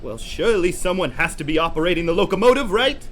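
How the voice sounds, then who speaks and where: A man puts on a self-assured western peddler for an audio drama. His formal patter is high and very animated, with train noise behind. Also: fast talker. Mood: puzzled. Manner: showy, formal salesman patter. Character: smooth-talking, self-assured Old West traveling salesman.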